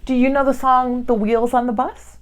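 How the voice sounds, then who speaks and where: A woman hosting a read-along, speaking to young children in simple, clearly phrased storytime style. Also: high-pitched, fairly even intonation, moderate pace.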